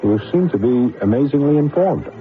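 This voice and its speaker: A man's voice: medium pitch, animated, moderate pace, natural voice. A man playing a hesitant, anxious computer scientist with an American accent in a radio drama, often stammering. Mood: wry, surprised. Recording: narrowband old radio, faint noise.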